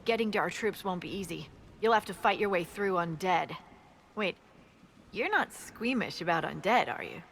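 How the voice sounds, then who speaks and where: Low-voiced, frank scout officer. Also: female voice, expressive, moderate pace, gravelly, fairly bright tone, slight background noise. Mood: frank briefing. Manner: frank, dry, informative. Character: woman military scout officer, brisk, frank, dryly scornful. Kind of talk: video game dialogue.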